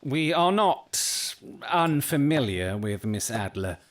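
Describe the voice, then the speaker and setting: A man playing a shrewd, urbane gentleman detective in an audio drama, speaking formally and precisely with dry, theatrical irony. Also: medium pitch, sing-song, takes it slowly. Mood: dry, commanding.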